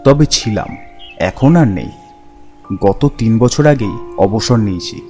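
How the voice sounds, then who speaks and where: A man voicing a kindly, elderly retired village schoolmaster in a cartoon, speaking in a measured, gentle way. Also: on the low side, animated, voice pushed down. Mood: reflective. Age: older. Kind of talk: cartoon character acting. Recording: music behind the voice.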